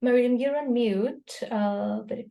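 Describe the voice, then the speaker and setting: Female poetry host, fairly high voice, conversational explainer and reciter. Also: a little slow, creaky, warm tone. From Karachi, Pakistan. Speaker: host and poet at a poetry gathering. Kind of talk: spoken introduction and poetry recital. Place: online video call.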